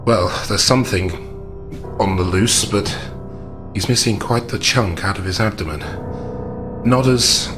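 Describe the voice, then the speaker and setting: A man playing a calm, analytical gentleman investigator in a tabletop game, reasoning aloud with deliberate understatement. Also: lowish voice, music in the background, close to an everyday voice. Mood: measured, grave.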